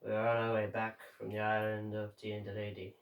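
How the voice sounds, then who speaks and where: A man playing a grim, haunted sailor who survived a sinking, reciting a dramatic monologue in halting, measured phrases with deliberate pauses. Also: lowish voice, completely flat delivery, lo-fi sound, close to an everyday voice. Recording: roomy echo, distant microphone.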